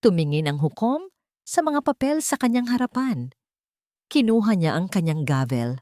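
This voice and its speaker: A woman's voice: mid-range voice, very animated, normal speed, warm tone, dry recording, clean studio sound. A woman with a Filipino accent reading a scripted dramatic story as narrator.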